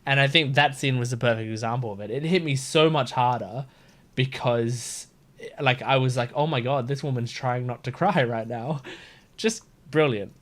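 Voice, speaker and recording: A man streaming a video game, reacting in a casual, animated, informal way with some swearing. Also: mid-range voice, normal speed. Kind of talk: casual gameplay commentary.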